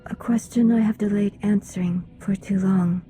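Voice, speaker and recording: Actress doing reserved alien mystic lady for a video game, really soft dark tone, formal and measured, bit of music under it. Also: medium pitch, steady tone, close to an everyday voice. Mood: solemn, reserved. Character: solemn, reserved alien woman, a mystic's envoy of Voss. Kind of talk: video game cutscene dialogue.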